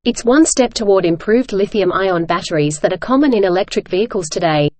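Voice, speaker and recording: A woman reading scripted practice passages aloud in a measured, carefully phrased way. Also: mid-range voice, animated, dry recording. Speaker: reader of exam practice passages. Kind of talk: scripted read-aloud narration.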